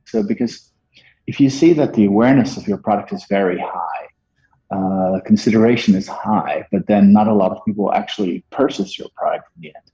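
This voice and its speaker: A man in his forties with a Dutch accent, a marketing guest talking business in a measured, hesitant way with many fillers and restarts. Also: on the low side, mellow, breathy edge. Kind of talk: business interview, explaining. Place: office room, indoors. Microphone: earbuds on a video call. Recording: dull lo-fi video call audio.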